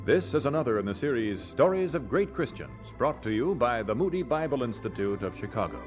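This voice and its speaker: A man's voice: medium pitch, sing-song, a little fast. A man announcing a programme in a scripted introduction. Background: loud music.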